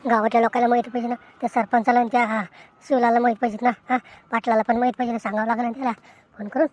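Woman as a scolding villager, brisk, somewhat high. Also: flat delivery, lightly acted. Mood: instructing. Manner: loud reproachful lecturing.

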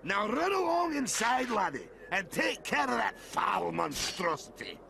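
An actor playing a big, proud, hearty Scottish warrior father in an animated game, blustering and gravelly. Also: sits high, a little slow, a little hiss or hum, full character voice. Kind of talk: animated game character acting. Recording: music behind. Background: game music.

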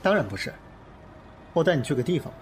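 A man playing a confident, teasing film star with a playful, expressive manner in an audio drama. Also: on the high side, fast, slight background noise. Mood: reflective. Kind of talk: Chinese audio drama acting.

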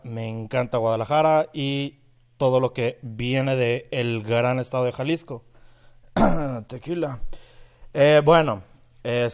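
Chatty Mexican studio engineer host. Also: male voice, mid-range voice, darkish tone, clear, resonant tone. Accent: northern Mexican. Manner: chatty, greets viewers by name. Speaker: audio engineer, livestream host. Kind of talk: live-streamed mixing tutorial. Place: home studio, indoors.

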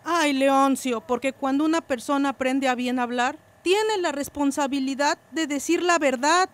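A woman playing an earnest, principled village mother in a radio drama. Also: high-pitched. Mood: earnest, regretful.

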